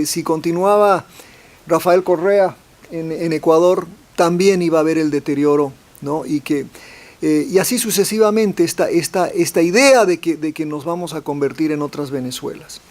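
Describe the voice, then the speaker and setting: A man's voice: on the high side, moderate pace, darkish tone. A man speaking as a professor on a forum panel, hesitant, restarting phrases and tagging sentences with questions. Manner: hesitant, repeats words, adds tag questions. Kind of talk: forum talk on politics.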